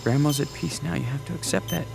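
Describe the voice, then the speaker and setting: An American man acting in a radio drama as an anguished father at his young son's bedside, halting and pleading. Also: on the high side, creaky, background noise. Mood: tender, grieving. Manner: halting, pleading, repeats words.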